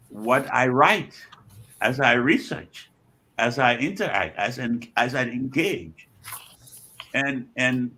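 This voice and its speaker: An elderly man with a Liberian accent talks in a reflective interview, his voice bright and forceful but husky. He phrases his thoughts deliberately, pausing and repeating words as he goes, and sits fairly low in pitch. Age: 70s or older. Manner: measured, deliberate phrasing with frequent pauses and repetitions. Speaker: guest author and scholar.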